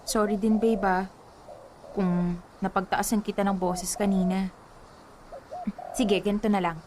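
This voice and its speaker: A woman's voice: medium pitch, little pitch movement, measured pace, noisy background, close to an everyday voice. A woman playing a stubborn, superstitious young Filipino girlfriend in a radio drama, girlish and pleading. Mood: apologetic. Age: young adult. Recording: music bed under the voice.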